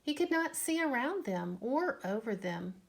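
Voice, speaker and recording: A woman reading a children's storybook aloud expressively, with measured, deliberate phrasing. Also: a little high, sing-song, gravelly, a little hiss or hum.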